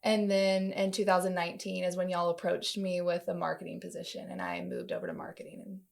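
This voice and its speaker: Woman with a low, creaky voice. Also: expressive, fairly quick. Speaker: podcast guest, marketing manager. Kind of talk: conversational interview answers.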